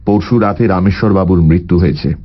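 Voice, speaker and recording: A man playing a composed, probing private detective in an audio story, speaking in a measured, analytical way. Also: deep voice, dull audio, close to an everyday voice. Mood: grave, analytical.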